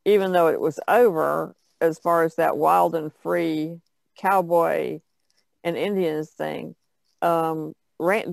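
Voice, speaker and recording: A woman's voice: low-pitched, gravelly, dry recording. A woman, an artist guest, chatting casually in a rambling way that trails off. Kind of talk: casual chat.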